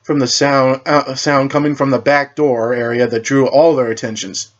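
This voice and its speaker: A man's voice: mid-range voice, flat delivery, normal speed, highs cut off. A man reading a superhero story aloud as narrator, often stumbling over words and rereading them.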